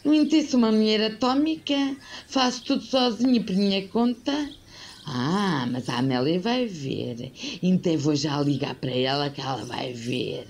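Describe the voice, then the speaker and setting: Radio soap busybody played by a woman, older, European Portuguese, melodramatic scold, very animated pitch, some background noise. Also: moderate pace. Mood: indignant, scolding. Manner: theatrical, indignant, plaintive. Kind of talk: old-fashioned radio soap acting.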